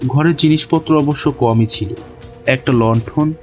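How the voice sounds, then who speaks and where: A man narrating a horror story in the first person with a deliberate, suspenseful, literary delivery. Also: medium pitch, darkish tone. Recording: music behind the voice.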